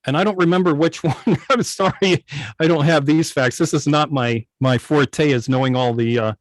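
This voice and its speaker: A man's voice: on the high side, no room sound. Hesitant man, dry close sound. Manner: hesitant, halting, searching for words. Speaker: guest, internet old-time radio station operator. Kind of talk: casual conversational interview. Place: indoors on a video call.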